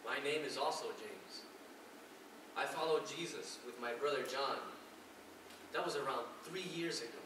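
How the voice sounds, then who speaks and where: A man playing an earnest, humble disciple of Jesus in a live stage monologue, telling his story deliberately with pauses. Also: mid-range voice, natural voice. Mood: earnest, proud. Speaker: actor in a church drama. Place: large echoey indoor space, mic at a distance. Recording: very echoey hall, distant mic, hissy noise.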